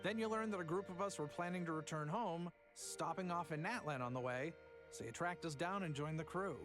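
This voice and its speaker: A man's voice: on the high side, brisk, music in the background, natural voice. A man voicing an easygoing young traveller in a video game, explaining in a wry, conversational way.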